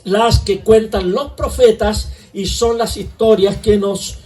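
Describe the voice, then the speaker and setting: High-voiced pastor preaching from afar. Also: male voice, fairly even intonation, slow pace, darkish tone, distant mic. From Chile. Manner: conversational preaching, asks rhetorical questions. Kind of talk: sermon.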